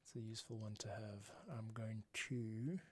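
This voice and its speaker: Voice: mid-range voice, steady tone, moderate pace, a little hiss or hum. A music composer giving casual live commentary while working, thinking aloud and muttering to themselves. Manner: muttering to self, humming filler syllables. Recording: loud music over the voice. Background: loud music from the project being scored.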